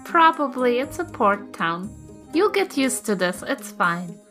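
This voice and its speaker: A woman's voice: a little high, sing-song, measured pace, fairly bright tone. A woman with a New Zealand accent playing a tabletop game, chatting and role-playing casually. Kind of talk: casual improvised role-play chat. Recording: music playing behind the voice.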